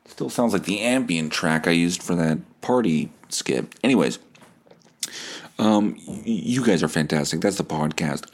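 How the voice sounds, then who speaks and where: A young man hosting a casual, rambling solo podcast in a profane, slangy, self-deprecating way. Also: deep voice. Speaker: podcast host.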